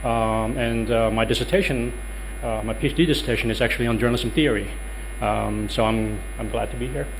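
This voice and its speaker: A man's voice: medium pitch, fairly even intonation, a little fast, slight background noise, rough recording. A man in his thirties with a Dutch accent giving a workshop talk in a conference room, hesitant with many ums and uhs. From Netherlands. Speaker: lecturer.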